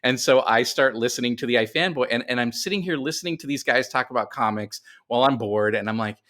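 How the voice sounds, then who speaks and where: A man's voice: mid-range voice, fast talker, a bit bright. A middle-aged man speaking as a podcast guest, telling his story conversationally and often doubling back on himself as he explains.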